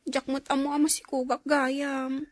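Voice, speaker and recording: A woman's voice: sits high, little pitch movement, normal speed, no room sound, lightly acted. An actress playing a desperate, indignant young woman in a radio drama, with a Filipino accent.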